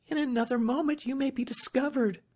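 A man voicing an earnest, agitated young lady in an audiobook, pleading with urgent, hushed entreaty. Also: sits high, animated, slow pace, voice pushed up, full character voice.

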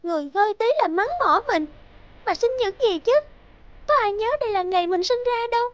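A woman playing a sensitive, aggrieved sixteen-year-old schoolgirl in an animated drama, with a plaintive, youthful, emotional delivery. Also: extremely high pitch, a little fast, no echo, dull audio. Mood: bitter, hurt.

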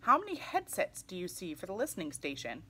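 A young adult woman reading a children's picture book aloud in an animated way, putting questions to young listeners. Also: fairly low pitch, fairly quick, slightly rough. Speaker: read-aloud storyteller for children. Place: small indoor room, seated in armchair.

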